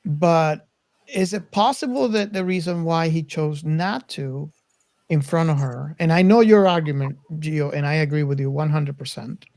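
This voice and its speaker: A man co-hosting a casual film discussion, reasoning aloud in a deliberate way. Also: fairly high pitch, a little mellow. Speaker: podcast co-host.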